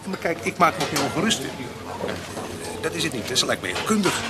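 A man's voice: a little high, very animated, brisk, over loud music. An actor playing a fussy, nagging middle-aged male client with a persistent, formal manner, in a radio drama. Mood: worried, nagging.

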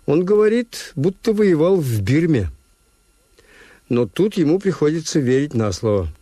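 An actor in a radio drama playing a skeptical, world-weary hotel owner who narrates in a measured, understated way. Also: male voice, on the high side, big pitch swings, warm tone, no echo. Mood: dry, skeptical.

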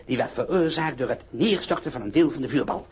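Husky, pompous older scientist. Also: male voice, medium pitch, lively intonation, a little fast. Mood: self-important. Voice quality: husky, breathy. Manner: grandiose, declamatory, abrupt outbursts. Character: pompous, vain, irascible older male observatory director. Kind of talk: theatrical Dutch radio play acting.

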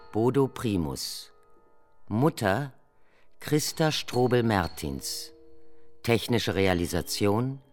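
A man formally announcing the credits of a radio drama, with music in the background. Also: lowish voice. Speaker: announcer reading production credits.

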